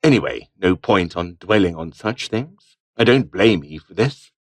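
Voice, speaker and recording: A bitter, scheming British aristocrat turned rebel officer for a video game. His fairly low voice swings widely in pitch as he talks in clipped, formal phrases. He sounds pompous and sneering throughout. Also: lively intonation, moderate pace. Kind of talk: video game character dialogue.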